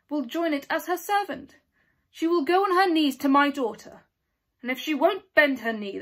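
A young woman plays a haughty, vengeful queen in a dramatic monologue. Her voice is high. She commands imperiously, with pointed pauses. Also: fairly bright tone, exaggerated voice. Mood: threatening. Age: teenager or young adult. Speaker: student performer. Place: small plain indoor room.

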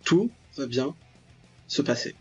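A man's voice: mid-range voice, expressive, takes it slowly, highs cut off, soft background music. A man giving casual, joking commentary on a visual novel, ironically paraphrasing and reacting aloud as he plays. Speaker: let's player, channel host.

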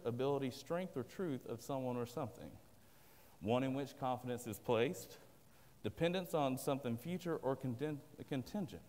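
A man's voice: mid-range voice, a little mellow. A man from the American South with a Southern accent, preaching an earnest, plain-spoken sermon from a pulpit. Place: large church sanctuary indoors. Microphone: at a pulpit, filmed by a far camera. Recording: long church-hall reverb.

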